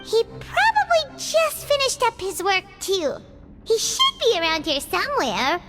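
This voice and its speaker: A woman voicing a tiny, excitable, childlike fairy companion with a squeaky voice, dramatic and cowardly, in an animated video game. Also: measured pace, over music, full character voice. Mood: exhausted, whiny. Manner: refers to self in third person, exclamatory.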